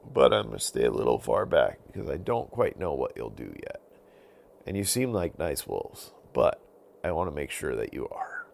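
A brave, protective hero from a bedtime story, voiced by a man. He sounds confident and helpful, with firm declarations and big swings in pitch. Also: sing-song, normal speed, gravelly, a little mellow, heavily acted.

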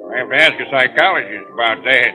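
An actor playing an affable, ordinary club patron, hesitant, plainspoken and self-deprecating, in a 1940s radio drama. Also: male voice, mid-range voice, quick pace, music in the background, lightly acted. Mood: sheepish, affable. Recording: thin, phone-like 1940s radio broadcast.

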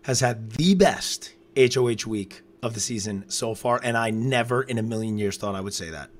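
Hoarse man in laid-back podcast chat. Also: medium pitch, normal speed. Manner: laid-back, conversational game analysis. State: hoarse after losing his voice. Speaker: podcast co-host recapping a reality show. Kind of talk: casual live-stream chat. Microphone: close microphone.

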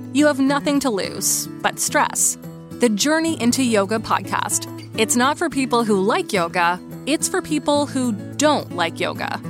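A woman with a fairly high voice narrates a scripted promo. She speaks as an advertising announcer, with music clearly playing behind her.

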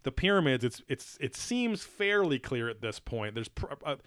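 A man with a bright, forceful and smooth voice talks through a show in loose, profane podcast chat. He rambles with plenty of fillers and restarts. The sound is dry and close. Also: medium pitch, very animated, moderate pace, dry recording. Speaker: podcast co-host. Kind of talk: casual chat reviewing a TV show.

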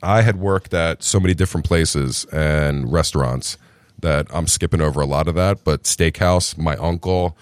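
A middle-aged man with a very low, deep voice tells his story in an easygoing, chatty way. The sound is dry and close, with no room around him. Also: moderate pace, no room sound. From New Jersey. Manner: relaxed, chatty, calls the host "brother". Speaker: restaurant group founder, podcast guest. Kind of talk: casual interview storytelling.

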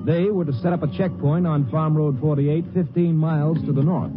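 A man's voice: fairly high pitch, steady tone, moderate pace. A man with an American accent giving scripted narration for a 1950s radio drama. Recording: muffled old radio recording over music.

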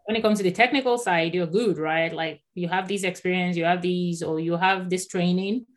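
A Nigerian-born woman in her thirties whose first language is Yoruba, speaking as an author and guest in a conversational interview, animated and quick to laugh. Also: fairly low pitch. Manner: animated, laughs often, repeats words when starting a thought.

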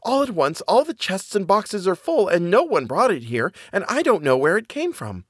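A frightened miller's wife from a fairy tale, acted by a man. The voice is pitched very high and swings with alarm, exclaiming anxiously. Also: sing-song, normal speed, breathy edge, no echo, clean studio sound, exaggerated voice. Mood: amazed, questioning.

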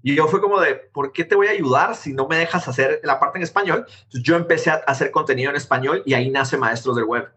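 A man from Guatemala tells his own story in a quick, animated flow. His voice sits a little high and sounds bright and forward. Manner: animated, quick, jumps between anecdotes. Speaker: interview guest, tech founder. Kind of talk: conversational storytelling.

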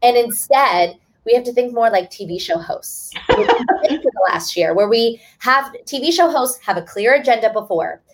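A woman's voice: fairly high pitch, expressive, moderate pace, rough recording. A woman author speaking as a guest in a webinar, explaining earnestly and restarting phrases now and then. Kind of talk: webinar talk.

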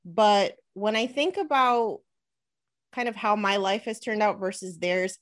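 A woman in her thirties from New Jersey, a podcast guest and money coach, talking in an energetic, chatty way in an interview. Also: medium pitch, measured pace. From New Jersey, USA. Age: 30s. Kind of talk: casual interview talk.

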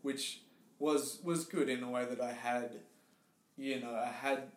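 A man with an Australian accent, a guest telling his story in casual interview chat, relaxed and hesitant with many fillers. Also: medium pitch, measured pace. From Tasmania, Australia. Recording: roomy echo.

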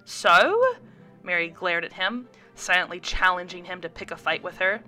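Bright-voiced woman narrating a story. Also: lowish voice, fairly even intonation, brisk, faint music behind. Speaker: guest audiobook reader. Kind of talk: expressive scripted story narration.

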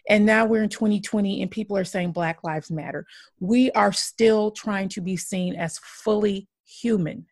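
A woman's voice: mid-range voice, moderate pace, no echo, clean studio sound. A woman in her forties hosting a podcast, speaking earnestly and with emphatic, impassioned repetition. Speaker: podcast host.

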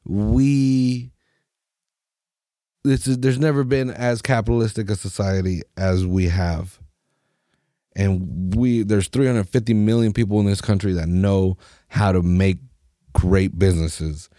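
A man from Mexico, hosting a podcast in a profane, animated rant full of mocking voices. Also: on the low side, a little slow, no echo, clean studio sound. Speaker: podcast host. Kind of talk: casual opinionated rant.

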